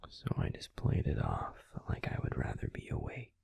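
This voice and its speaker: A man playing a grumpy but tender werewolf boyfriend in an intimate sleep-aid audio roleplay, confiding casually in a breathy, husky, unhurried whisper, close to the microphone. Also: darkish tone, no room sound, close to an everyday voice. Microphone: close microphone, dry.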